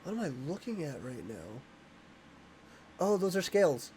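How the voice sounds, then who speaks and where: A man's voice: a little high, sing-song, normal speed, darkish tone, slight background noise. A man hosting a reaction video indoors, giving casual commentary and exclaiming and musing aloud as he watches. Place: room indoors. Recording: music behind the voice. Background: music from the watched video.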